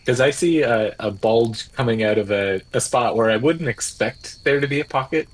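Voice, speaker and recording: A man in an improvised comedy podcast, throwing in slow, deadpan quips. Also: medium pitch, low-quality recording.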